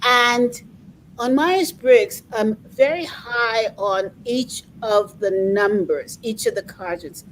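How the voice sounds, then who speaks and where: A woman's voice: a little high, slow pace, a little hiss or hum, rough recording. A middle-aged woman hosting an interview, talking emphatically and sharing personal anecdotes, often repeating words as she starts. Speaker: interviewer, coaching association host. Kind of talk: conversational interview.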